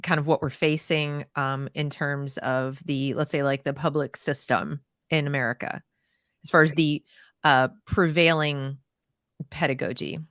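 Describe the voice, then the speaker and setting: Low, smooth-voiced woman host. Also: steady tone, measured pace, fairly bright tone, no room sound, clean studio sound. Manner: thoughtful, questioning. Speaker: podcast host. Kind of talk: podcast interview.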